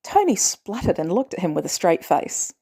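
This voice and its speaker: A woman's voice: on the low side, big pitch swings, normal speed, mellow, husky. A woman reading scripted fan fiction aloud as a narrator. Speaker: podfic narrator.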